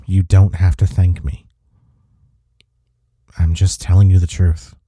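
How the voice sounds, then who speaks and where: A man playing a smitten office rival in an intimate romantic audio roleplay, with a husky, mellow voice and a halting, teasing manner. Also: deep voice, flat delivery, dry recording. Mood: earnest, defensive. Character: flustered, smitten male office rival confessing attraction.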